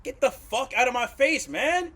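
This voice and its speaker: A man's voice: very high voice, very animated, normal speed, heavy background noise. A man playing a cocky, threatening street thug in a comic dub, aggressive in manner. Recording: muddy, with music behind.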